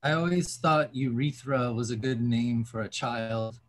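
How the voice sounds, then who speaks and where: A man's voice: medium pitch, a little slow. A man giving a scripted reading of poetic literary prose. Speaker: author reading aloud.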